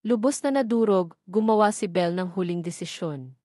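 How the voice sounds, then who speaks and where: A woman reading a scripted dramatic story as narrator, in a measured, formal storytelling delivery. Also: on the low side, darkish tone, no room sound, studio quality. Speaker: narrator of audio love stories.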